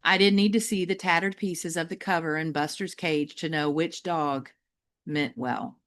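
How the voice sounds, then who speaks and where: Woman storyteller for a children's novel: lower register, very animated intonation, deliberate and emphatic phrasing. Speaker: teacher reading a children's novel aloud. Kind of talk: expressive audiobook-style storytelling for children.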